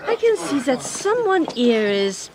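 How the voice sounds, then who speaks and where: An actress playing a cultured, flattering woman stranger in a radio drama, coquettish and measured. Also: high-pitched, noisy background. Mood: flirtatious, admiring.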